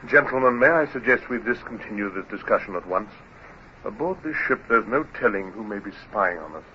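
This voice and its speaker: An actor playing a cautious, evasive ship's surgeon in an old radio drama, speaking in a guarded, deliberate manner. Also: male voice, on the low side, expressive, natural voice. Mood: wary. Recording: dull, noisy old radio recording.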